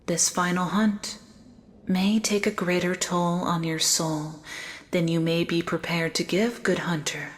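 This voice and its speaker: A woman voicing a serene living doll, a gentle otherworldly guide in a gothic audio drama, soft-spoken and formal. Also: on the low side, fairly even intonation, measured pace, close to an everyday voice. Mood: serene, solemn.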